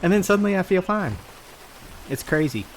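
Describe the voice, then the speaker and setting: A man's voice: high-pitched, very animated, moderate pace, crowd noise. A man voicing a rambling, awkward character named Frank in an improvised roleplay, full of fillers and restarts. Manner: rambling, hesitant, lots of fillers. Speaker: roleplay streamer.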